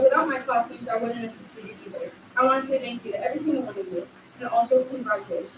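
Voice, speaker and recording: Young woman reading a heartfelt grad speech, high voice, big echoey hall, mic kinda far off. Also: a little mellow. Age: young adult. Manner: earnest and heartfelt, reading aloud. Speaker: graduating student giving a speech. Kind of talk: prepared graduation speech. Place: large echoey hall at a graduation ceremony. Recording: echoey hall, distant mic, faint noise.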